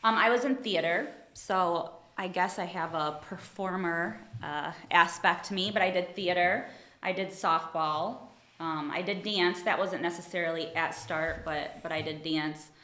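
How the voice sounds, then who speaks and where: A woman's voice: lowish voice, measured pace, a bit bright, echoey room. A woman from Ohio working as an attorney, chatting casually in an interview, friendly and modest, laughing often. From Toledo, Ohio. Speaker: attorney, guest.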